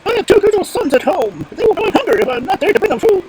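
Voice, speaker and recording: Storybook stork, raised pitch, imploring, formal, earnest, noisy recording. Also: sing-song, rapid-fire. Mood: pleading. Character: earnest, grateful stork parent pleading in a fairy tale.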